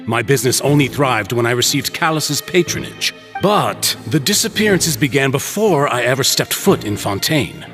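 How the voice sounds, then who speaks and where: An actor playing a patronizing middle-aged businessman villain in a game, measured and theatrical, feigning fatherly hurt. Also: medium pitch, animated, a bit bright, faint music behind, close to an everyday voice. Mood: self-justifying. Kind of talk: dramatic game cutscene acting.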